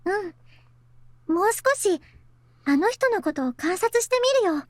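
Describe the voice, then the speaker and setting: A very high-voiced, soft girl. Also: animated, moderate pace, no echo. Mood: thoughtful, curious. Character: soft-spoken girl in a visual novel, secretly bitter. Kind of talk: Japanese visual novel voice acting. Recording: very dry close studio recording.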